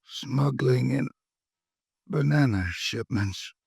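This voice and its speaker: A man's voice: mid-range voice, gravelly, a little mellow, breathy edge, dry recording, clean studio sound, heavily acted. A stabbed man, gasping. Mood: dying. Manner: halting, broken whispers. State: wounded, breathless, fading. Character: stabbed man gasping his last warning.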